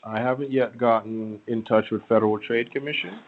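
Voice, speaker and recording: A man on a phone call giving a persuasive pitch as an agent, formally polite but halting and repeating himself. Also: steady tone, a little hiss or hum. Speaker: phone caller posing as a prize agent. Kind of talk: persuasive phone sales talk. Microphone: telephone. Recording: muffled, band-limited phone line.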